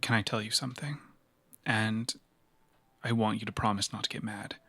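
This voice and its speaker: A man playing a consoling, remorseful investigator in an improvised cyberpunk tabletop game, earnest and halting. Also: on the low side, fairly even intonation, pushed and bright, breathy edge, dry recording, full character voice. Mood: confessing, apologetic.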